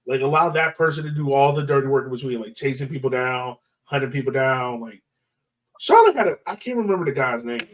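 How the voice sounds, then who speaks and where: A man's voice: mid-range voice, lively intonation, fairly quick, distant mic, rough recording. A man hosting a sports podcast, talking through analysis in an informal, slangy way. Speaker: podcast host. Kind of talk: casual sports analysis chat.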